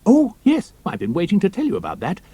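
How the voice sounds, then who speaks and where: An actor playing an eager young British airman in an audio drama, with a chatty, colloquial, animated manner. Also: high-pitched, fairly quick, breathy edge, no echo, exaggerated voice. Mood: breezy, informative.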